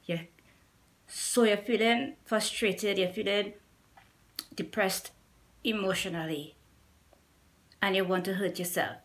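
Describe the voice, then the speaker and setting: A woman's voice: mid-range voice, fairly even intonation, slow pace, pauses often. A middle-aged woman with a Trinidadian accent talks straight into a nearby camera in a small room at home. She speaks in a mid-range voice, earnest and pleading, and keeps circling back to repeat her points. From Trinidad and Tobago. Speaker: YouTuber. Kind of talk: personal testimony to camera.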